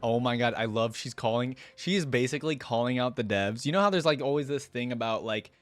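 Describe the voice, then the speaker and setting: A man's voice: medium pitch, fast talker, dry recording. A man hosting a game reaction channel, chatting casually and enthusiastically as he comments. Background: faint music.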